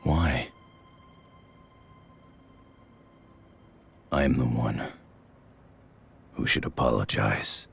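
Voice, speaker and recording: An actor playing a brooding, guarded gunslinger hero in a video game, terse and subdued, with a gravelly low voice. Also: male voice, slow, heavily acted. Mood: sorrowful, subdued. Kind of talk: dramatic video game cutscene acting. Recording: loud music over the voice.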